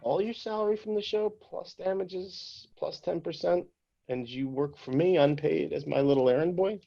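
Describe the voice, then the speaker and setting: A man's voice: fairly high pitch, moderate pace, vocal fry, mellow, highs cut off. A man reading an angry, bitter male showrunner in a table read, heated and profane. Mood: scornful.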